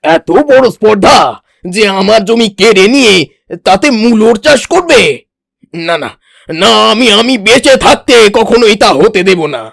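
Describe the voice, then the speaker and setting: A self-important village landlord from a cartoon, acted by a man. The male voice is pitched well up and speaks with emphatic, theatrical weight. The recording is close and dry. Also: lively intonation, moderate pace, gravelly, fairly bright tone, no room sound, exaggerated voice. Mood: outraged. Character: proud village landlord uncle, genial but quick to outrage. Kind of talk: exaggerated cartoon dialogue.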